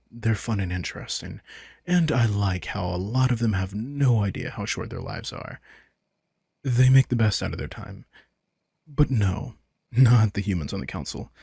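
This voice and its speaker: A man playing a playful, smug vampire in an intimate roleplay audio drama, casual, sardonic and teasing, with a husky, gravelly voice. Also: lowish voice, a little mellow, no echo, clean studio sound, heavily acted. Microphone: close, dry studio microphone.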